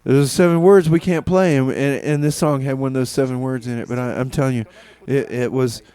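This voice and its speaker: A man's voice: mid-range voice, fairly even intonation, mellow, smooth. Rambling male community radio host. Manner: rambling, halting, repeats himself. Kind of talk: comedic community radio DJ patter between songs.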